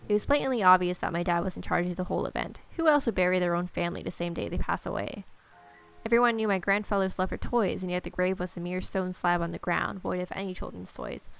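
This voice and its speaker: Woman reading aloud with a creaky voice. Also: fairly low pitch, fast talker. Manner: irreverent, sarcastic. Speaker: let's player. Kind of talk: reading visual novel aloud with casual joking asides.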